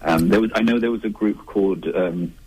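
A man's voice: lowish voice, a little fast, a little mellow, slightly rough, poor audio. A man, an author, answering interview questions in a thoughtful, conversational way with frequent restarts. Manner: thoughtful, restarts, laughs lightly. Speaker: author guest.

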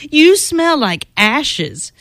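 A woman's voice: high voice, very animated, very slow, fairly bright tone, dry recording, clean studio sound, pitched above the performer's own voice, full character voice. A woman doing a snooty, vain young prince. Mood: disdainful.

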